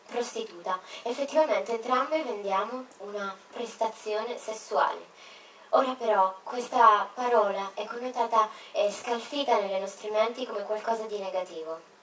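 A young woman giving a stage talk, halting and emotional, with many pauses and fillers. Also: medium pitch. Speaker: speaker. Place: large theater stage. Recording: echoey hall, far-off mic, faint noise.

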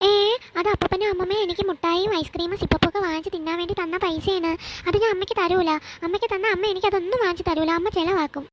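A performer voicing a lively little boy in a cartoon skit, pleading and stubborn in a whiny, childlike way. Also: extremely high pitch, racing, a little hiss or hum.

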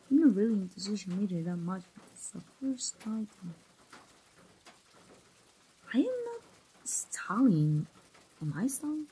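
A woman acts out a chatty young best friend in an intimate ASMR roleplay. Her voice is very breathy and husky, with a soft, dark tone and creaky edges. She talks in a playful, familiar way, teasing the listener like an old friend. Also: medium pitch, exaggerated voice, low-quality recording. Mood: warm, curious. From Mexico. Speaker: voice actor.

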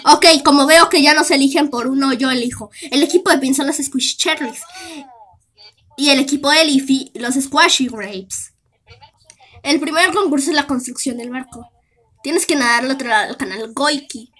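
A woman with a Latin American Spanish accent voicing squabbling object characters in an animated cartoon fan dub. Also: high-pitched, moderate pace. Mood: announcing.

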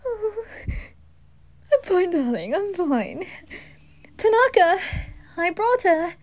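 A woman's voice: extremely high pitch, big pitch swings, takes it slowly, darkish tone, pitched above the performer's own voice, heavily acted. A woman voicing a flamboyant, doting Reaper with theatrical, gushing affection in an anime fan audio drama. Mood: moved, gushing. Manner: theatrical, gushing, calls others darling.

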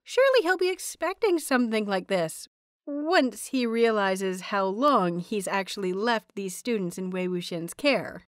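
A woman reading a scripted story aloud as an expressive audiobook narrator. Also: mid-range voice, a little slow, dry recording, clean studio sound.

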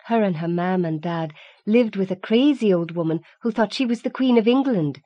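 A woman's voice: mid-range voice, normal speed, dry recording, dull audio, voice pushed up, lightly acted. A woman voicing a spiteful schoolgirl bully who hisses and taunts in an audiobook story. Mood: taunting. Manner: hissing, taunting.

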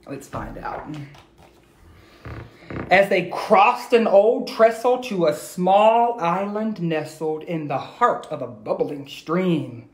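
A man's voice: sits high, lively intonation, slow. A middle-aged man reading aloud expressively, as an educator addressing young listeners directly and encouragingly. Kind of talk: expressive children's read-aloud. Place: indoor room at home. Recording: echoey room, phone video.

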